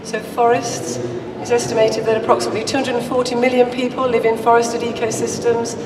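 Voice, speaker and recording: A woman researcher with a croaky voice giving a measured conference presentation. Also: fairly high pitch. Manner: measured, explanatory, with occasional restarts. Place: large conference hall. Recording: echoey hall recorded from far off with noise.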